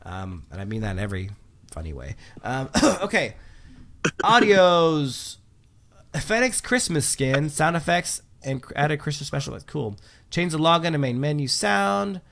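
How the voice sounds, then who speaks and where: A man's voice: on the high side, big pitch swings, a little slow, gravelly, a bit bright. A man hosting a livestream, chatting casually while reading patch notes, with lots of um fillers and restarts. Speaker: livestream host.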